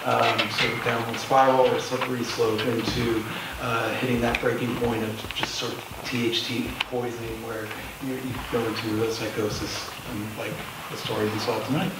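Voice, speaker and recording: A man answering as a panelist in a public discussion, speaking haltingly with many pauses. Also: medium pitch, little pitch movement. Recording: distant mic, echoey room, noisy.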